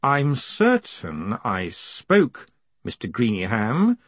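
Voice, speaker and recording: A man voicing a calm, quietly commanding ruler with measured, formal, icily polite speech in an audiobook. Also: mid-range voice, dry recording, heavily acted. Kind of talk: audiobook character acting.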